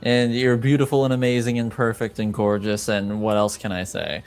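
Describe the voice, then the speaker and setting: A man's voice: lowish voice, flat delivery, moderate pace, a little mellow, clean tone, soft background music. A man playing a tabletop roleplaying game, improvising casually with brief, understated replies.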